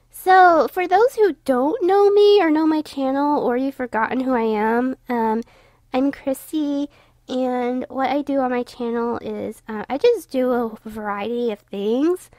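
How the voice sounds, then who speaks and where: Young female vlogger, higher voice, stuffy and tired, rambling with fillers. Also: slow pace, darkish tone, no echo. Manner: rambling, many fillers and restarts. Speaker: YouTuber narrating her drawing. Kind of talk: casual voice-over commentary.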